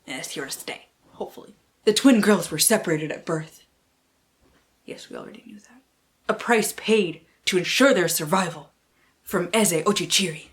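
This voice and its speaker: A woman book vlogger chatting casually and reading blurbs aloud in a playful, theatrical way, with slang and free swearing. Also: fairly low pitch.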